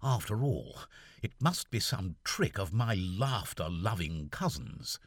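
A man voicing an excitable young army lieutenant who keeps exclaiming in exasperation, in an audiobook. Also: low-pitched, slow pace, no room sound, clean studio sound, heavily acted. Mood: musing. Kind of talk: audiobook character voice.

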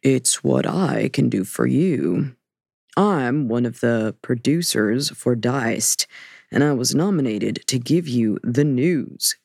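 A woman voicing a brisk, upbeat middle-aged male TV producer with a hearty baritone. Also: animated, creaky, darkish tone, husky, no room sound, studio quality, heavily acted. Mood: businesslike. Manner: businesslike, upbeat. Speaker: audiobook narrator voicing a character.

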